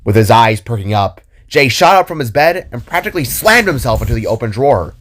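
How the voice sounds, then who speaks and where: A man's voice: mid-range voice, very animated, a little fast, fairly bright tone. A man narrating a scripted fantasy story expressively.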